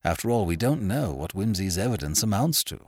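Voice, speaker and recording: A man's voice: low voice, sing-song, darkish tone, no echo, studio quality, voice pushed up. Storybook role: senior barrister read by a male narrator, cool, authoritative, probing, closely recorded. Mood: deliberating. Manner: measured, probing, formal.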